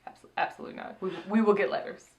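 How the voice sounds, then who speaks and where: A woman's voice: rapid-fire, muffled recording, very noisy. A woman co-hosting a casual review chat, retelling moments and acting out what people said. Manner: chatty, animated retelling with mimicked quotes. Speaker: co-host reviewing a TV episode.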